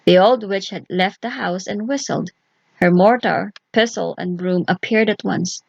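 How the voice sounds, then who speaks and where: A woman's voice: mid-range voice, unhurried, creaky, dry recording, dull audio. A woman reading a scripted folk tale aloud for children as a storyteller.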